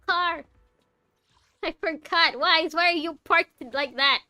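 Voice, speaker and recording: A woman's voice: very high voice, takes it slowly, sharp tone. A young woman from Malaysia chatting casually as she plays through a game, rambling and thinking aloud. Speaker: game streamer. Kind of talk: casual commentary while playing a game.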